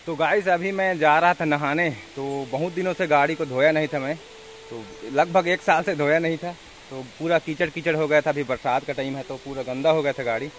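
A man's voice: fairly high pitch, fairly even intonation, fairly quick, a bit bright, a little hiss or hum. A young man with a Chhattisgarhi accent chatting casually to camera as a village vlogger, recorded outdoors on a phone at arm's length. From India. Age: young adult. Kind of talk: casual vlog chat to camera. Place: outdoors in a rural village area.

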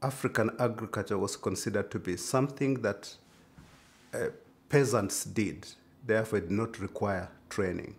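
A man giving a deliberate, formal interview soundbite about agriculture. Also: lowish voice, a little hiss or hum.

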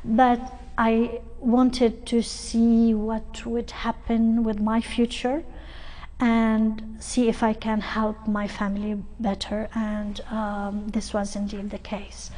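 Middle-aged woman from Lebanon, TV studio guest, slow halting speech, flat and somewhat high voice. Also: rough recording. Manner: slow and halting, many ums. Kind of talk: TV interview. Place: TV studio set.

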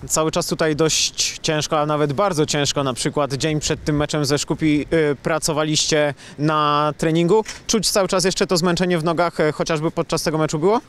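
A man's voice: on the high side, fairly even intonation, normal speed, hardly any pauses, clean tone. A man reporting for a football club's channel, introducing guests and asking chatty questions. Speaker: club media reporter.

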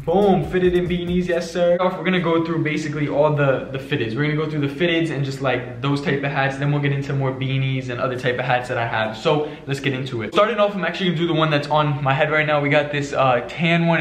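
A young man from New York runs through his hat collection in a relaxed, chatty way, full of fillers and slang. He talks at a quick clip. His voice sits in a middle register, and the room gives it a noticeable echo. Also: mid-range voice. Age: young adult. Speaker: fashion vlogger. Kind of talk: casual hat collection haul. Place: bedroom with shelves, indoors. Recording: echoey room.

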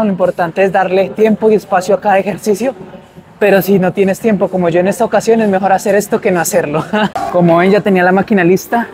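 A young man, chatting upbeat and casual to camera as a fitness vlogger between sets in a gym. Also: high voice. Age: young adult. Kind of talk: casual vlog chat to camera. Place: large indoor gym, then a shopping mall walkway.

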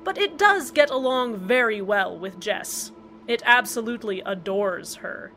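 A woman's voice: a little high, lively intonation, measured pace, fairly bright tone, slight background noise, poor audio. A woman hosting a scripted community radio show, delivered in a cheerfully matter-of-fact way. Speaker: radio host. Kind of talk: scripted fan-fiction radio narration.

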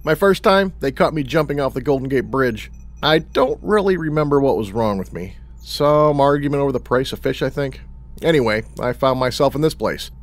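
An actor playing a jaunty, blasé, chatty regular customer with a reckless streak in a comedy audio drama. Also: male voice, mid-range voice, animated, moderate pace, lightly acted. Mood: breezy. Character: breezy, cheerfully reckless, chatty regular customer named Charlie.

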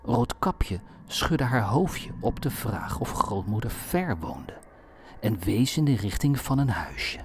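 Man, medium pitch, slow delivery, scripted storytelling, measured fairy-tale narration. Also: a little mellow, slight background noise.

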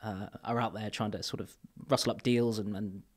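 A man in his thirties from England, a podcast guest talking casually into a boom mic, rambling with restarts and fillers and trailing off quietly. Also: steady tone, fairly quick. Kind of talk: casual interview chat. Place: podcast studio with brick wall. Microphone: boom mic on arm.

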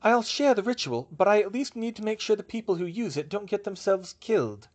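A young British witch professor, played by a male narrator, pitched high and swinging widely as she argues her case. She is passionate and defensive, with indignant, emphatic protests in a storybook telling. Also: animated, a little fast. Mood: firm, warning.

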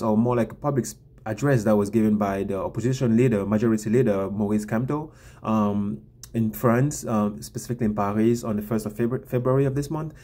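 A man's voice: lowish voice, mellow. A man in his thirties from Cameroon, hosting his own vlog and sharing political views to camera, with many ums and repeated words, recorded on a laptop camera in a small room. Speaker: vlog host. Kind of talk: casual political commentary to camera.

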